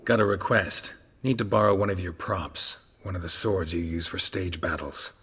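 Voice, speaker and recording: An actor playing a gruff, laconic monster hunter in a video game, with a gravelly, husky voice and a terse, dry manner. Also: male voice, deep voice, steady tone, moderate pace, fairly bright tone, heavily acted. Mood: dry, calm.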